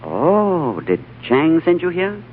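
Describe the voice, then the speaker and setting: An actor playing a composed, shrewd gentleman in an old radio drama, urbane, courteous and polished in speech and narration. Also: on the high side, sing-song, slow pace, slight background noise. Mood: curious, polite. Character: cultured, composed, shrewd British diplomat narrator. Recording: muffled vintage radio broadcast with music.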